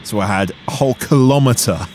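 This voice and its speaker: A man's voice: mid-range voice, a little fast, a little mellow, slight background noise. English guy in his 30s doing pilot vlog talk, matter of fact, faint plane drone behind. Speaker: pilot and vlogger. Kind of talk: vlog narration and cockpit talk.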